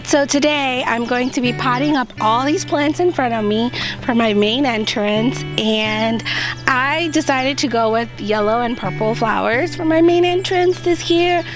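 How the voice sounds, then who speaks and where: A woman with a slightly raised, hoarse voice talks a gardening vlog through step by step, upbeat and chatty. She is recorded outdoors, with clear music playing under her voice. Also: high-pitched, a little slow, low-quality recording. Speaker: gardening channel host. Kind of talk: casual how-to chat to camera. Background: background music.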